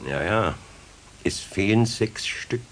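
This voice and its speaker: Casting a grumbling older Bavarian craftsman in a radio play: unhurried, husky, firm, with an animated rise and fall. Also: fairly low pitch, exaggerated voice. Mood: doubtful, muttering. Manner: gruff, unhurried, sceptical. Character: older master carpenter, grumbling, patient but firm. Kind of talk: children's radio play acting.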